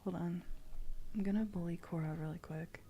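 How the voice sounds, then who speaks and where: A woman streamer speaking softly in a playful ASMR livestream style, often dropping into a whisper. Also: on the low side, steady tone, moderate pace, dull audio, a little hiss or hum. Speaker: streamer reading tarot.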